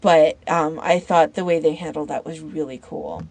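A woman on a podcast panel chatting casually in an informal, halting way, as if thinking aloud. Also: low-pitched, gravelly, darkish tone, slightly rough. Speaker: podcast panellist recommending fan audio fiction. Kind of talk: casual chat.

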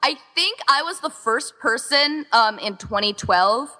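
Bright-voiced chatty woman in a reverberant space. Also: sits high, lively intonation, unhurried. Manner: chatty, rambling, quotes imagined remarks. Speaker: interviewed co-founder and organizer of a fan audio exchange. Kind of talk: casual interview chat. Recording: long echoing reverb.

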